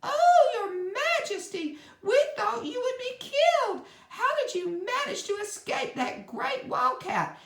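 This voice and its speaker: A shrill chorus of tiny mice. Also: female voice, slow. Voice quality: shrill, squeaky. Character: chorus of small frightened field mice. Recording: echoey room.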